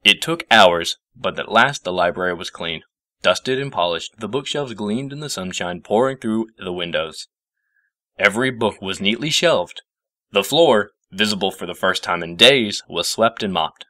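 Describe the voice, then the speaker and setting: A man reads a story aloud with expressive delivery. His voice is bright and moves widely in pitch. The sound is dry and close, with no room on it. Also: moderate pace, no room sound. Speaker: fan fiction reader. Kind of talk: scripted story narration.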